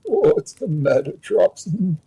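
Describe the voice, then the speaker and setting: Storybook stallion, played by a male reader: wise, loyal counsellor, very husky voice, measured and lively in pitch. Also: exaggerated voice. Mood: concerned. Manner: measured, instructing, reassuring. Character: wise, loyal talking stallion who counsels his master.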